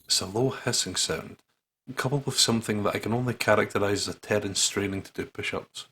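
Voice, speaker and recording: A man's voice: fairly low pitch, brisk, fairly bright tone, a little hiss or hum. A man doing a playful let's-play, reading aloud and joking, with a sweary, silly manner. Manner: jokey, sweary, breaks into silly riffs. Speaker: gaming channel co-host. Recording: music playing behind the voice. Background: game music.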